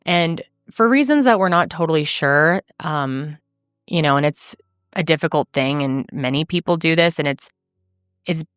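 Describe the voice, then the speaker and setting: A woman's voice: sits low, fairly bright tone, clean tone, no room sound, studio quality. A woman hosting a true crime podcast, telling a case in an earnest, emphatic, conversational way. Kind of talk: casual storytelling narration.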